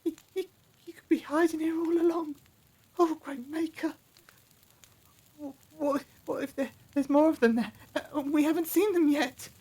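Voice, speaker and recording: A timid, frightened captive from an audio drama, acted out by a woman. The voice is high with a mellow tone. The delivery is nervous and stammering, always on edge. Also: steady tone, moderate pace, natural voice. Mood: panicked. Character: Four, timid panicky abductee who stammers with fright.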